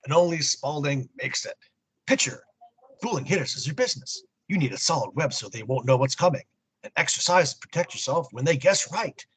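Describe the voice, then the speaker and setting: A middle-aged American man co-hosting a podcast, chatting casually in a halting, wry way. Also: on the high side, lively intonation, fairly bright tone, husky, poor audio. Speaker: podcast co-host. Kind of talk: casual chat about old comics.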